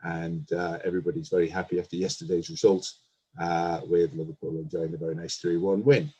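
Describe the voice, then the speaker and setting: A man's voice: deep voice, flat delivery, brisk, warm tone, husky, lo-fi sound. A man hosting an online talk show, welcoming guests in a friendly, hesitant way with frequent fillers. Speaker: host. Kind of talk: casual online panel interview.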